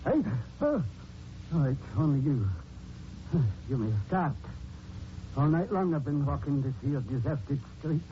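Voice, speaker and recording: An actor playing a gruff, weary London police constable in a 1940s radio drama. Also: male voice, a little high, sing-song, unhurried, long pauses. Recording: muffled hissy 1940s radio recording.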